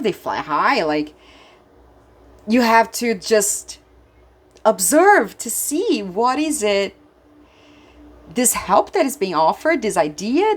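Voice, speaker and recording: A Brazilian woman with a Brazilian accent, whose first language is Portuguese, giving a tarot reading to viewers in an excitable way, often restarting her sentences. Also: mid-range voice. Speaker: tarot reader.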